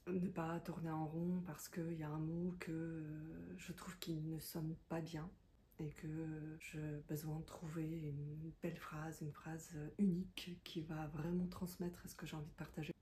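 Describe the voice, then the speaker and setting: Casting note: low-ish, warm woman, non-native speaker, reflective and hesitant. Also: flat delivery, measured pace, slight background noise. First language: not French. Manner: hesitant, many fillers and restarts; thoughtful and confiding. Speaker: content creator, self-employed. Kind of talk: reflective talking-head monologue.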